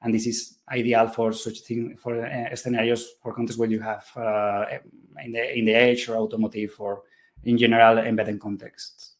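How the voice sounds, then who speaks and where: A man's voice: mid-range voice, flat delivery, moderate pace. Hesitant man giving a technical talk. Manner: hesitant, many fillers and restarts. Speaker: conference presenter. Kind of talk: technical talk with live demo.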